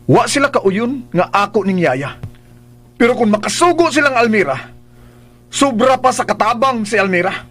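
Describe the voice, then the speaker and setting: A man's voice: sits high, expressive, moderate pace, fairly bright tone. A man playing a possessive, irritable young son of a rich household in a radio drama, stern and demanding, with a Bisaya accent. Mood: sullen. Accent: Filipino, Bisaya.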